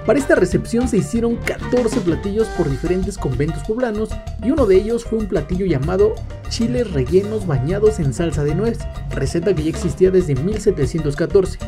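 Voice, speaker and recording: Measured Mexican narrator over music. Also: male voice, high voice. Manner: even, measured storytelling. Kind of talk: scripted history narration.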